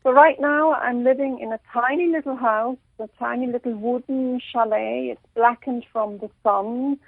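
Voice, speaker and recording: A woman from Switzerland with a slight Irish accent, a radio interview guest who talks haltingly with many pauses and restarts. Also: fairly high pitch, steady tone, vocal fry, dry recording. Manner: halting, full of ums and restarts. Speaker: guest author. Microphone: telephone. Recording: tinny phone line.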